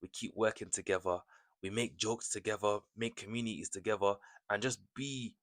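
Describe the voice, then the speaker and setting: A man's voice: fairly low pitch, steady tone, normal speed, fairly bright tone. A young man of Kenyan and Jamaican background giving casual commentary to camera, chatty and conversational, often checking in with tag questions. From Kenyan and Jamaican family. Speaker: vlogger.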